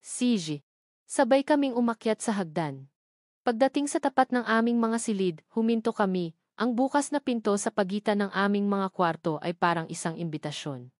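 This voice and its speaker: A woman's voice: mid-range voice, moderate pace, a little mellow, no echo, clean studio sound. Filipino woman narrating a sentimental romance. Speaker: story narrator. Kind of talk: dramatic first-person romance story narration.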